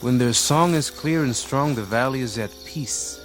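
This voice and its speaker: An actor playing a skeptical, self-assured village deputy in rural Mexico, protesting and plain-spoken in a radio drama. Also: male voice, mid-range voice, moderate pace, lightly acted, poor audio. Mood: reluctant, assured. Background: crickets and music behind the voice.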